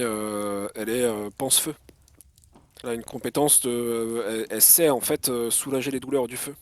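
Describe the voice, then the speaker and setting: A man player presenting his tabletop character in a halting way, with many fillers and restarts. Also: mid-range voice, no echo.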